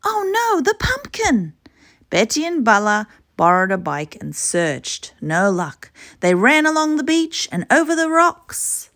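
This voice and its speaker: Woman reading a children's story, very animated. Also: mid-range voice, a little slow, few pauses. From Torres Strait, Australia. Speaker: storybook reader. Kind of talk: expressive children's story narration.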